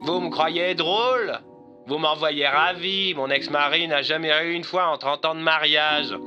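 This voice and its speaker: A man's voice: a little high, measured pace, bright, forceful tone, lo-fi sound, faint music behind. A man voicing a sour, sarcastic, weary police switchboard officer, curt and sardonic, in an audio drama.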